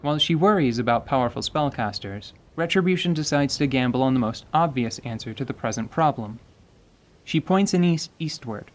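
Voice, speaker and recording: A man's voice: medium pitch, lively intonation, normal speed. A man reading scripted dramatic narration for a fantasy tabletop story told as radio theatre.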